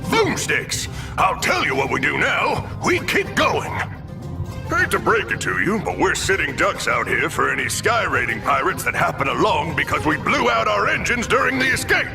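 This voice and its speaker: A man's voice: high-pitched, sing-song, normal speed, gravelly, heavily acted. A man voicing an urgent, blustering ship mechanic creature in a cartoon video game. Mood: urgent, exasperated. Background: music.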